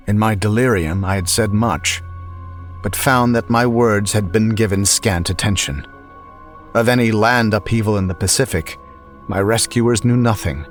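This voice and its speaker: Man, low-pitched voice, dramatic horror narration, measured and brooding, faint background music. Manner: theatrical, measured, brooding delivery. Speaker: audiobook reader. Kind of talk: dramatic horror story reading. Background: faint underscore music.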